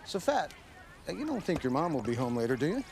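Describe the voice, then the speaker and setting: Slangy 1930s teacher, boyish and modest, voice goes up and down, faint noise. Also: male voice, a little high, very animated, fast, gravelly, a little mellow. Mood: friendly. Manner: slangy, boyish, self-deprecating. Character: eager, modest 1930s small-town male schoolteacher. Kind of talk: period television drama acting.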